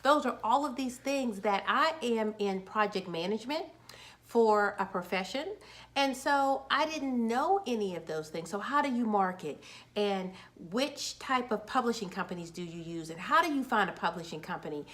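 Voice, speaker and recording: A woman's voice: mid-range voice, expressive, normal speed, a bit bright. A woman in her forties, a children's book author, talking casually and upbeat to camera, a little nervous and restarting words. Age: 40s.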